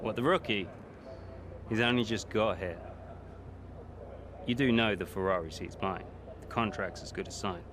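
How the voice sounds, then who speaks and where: A man's voice: on the low side, normal speed, long pauses, lightly acted. A man playing a smug, needling racing driver in a video game cutscene, mocking and condescending. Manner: mocking, mock-innocent, condescending. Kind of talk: cinematic video game acting. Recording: outdoor urban and vehicle noise.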